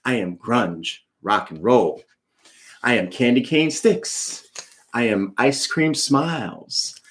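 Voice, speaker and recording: A man's voice: medium pitch, lively intonation, takes it slowly, fairly bright tone. A man, a children's author, speaking to an online audience in a live read-aloud in a friendly, direct way. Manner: friendly, chatty, addressing viewers directly.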